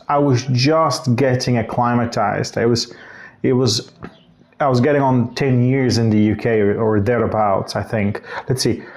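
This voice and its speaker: A man from Bulgaria, probably in his thirties, talking casually into the camera in a reflective vlog. He wanders through his thoughts and often corrects himself mid-sentence. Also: mid-range voice. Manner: reflective, rambling with restarts and self-corrections. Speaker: vlogger.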